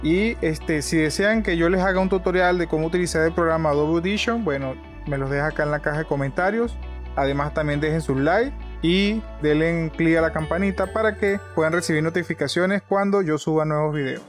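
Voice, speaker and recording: Higher-pitched man giving an informal tutorial. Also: brisk, faint music behind. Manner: conversational, repeats and rephrases himself. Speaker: video tutorial host. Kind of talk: informal explanatory screen tutorial.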